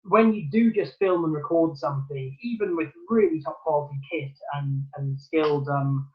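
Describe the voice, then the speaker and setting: A man's voice: on the high side, moderate pace, highs cut off. A man from Newcastle giving thoughtful, earnest answers as a theatre producer in a remote interview, with many ums and restarts. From Newcastle, England.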